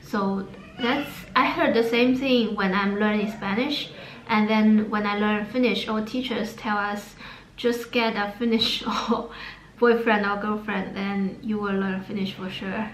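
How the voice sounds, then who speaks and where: A young woman with a Chinese accent talks slowly and haltingly, with many pauses and fillers. Her voice rings in an echoey room over a faint hum. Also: medium pitch, fairly even intonation. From China. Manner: hesitant, many fillers and restarts. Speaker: vlogger. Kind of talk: casual talk to camera about language learning.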